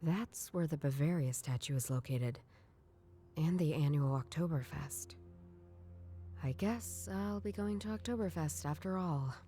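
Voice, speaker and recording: Dark-voiced, even-toned young woman detective. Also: sits low, measured pace. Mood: wry. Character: wry young woman detective chasing grandpa's riddles. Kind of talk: scripted game voice-over, inner monologue.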